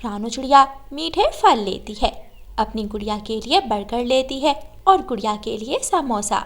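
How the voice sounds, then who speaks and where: A woman's voice: fairly high pitch, expressive, moderate pace, fairly bright tone. A woman reading scripted narration for a children's moral story.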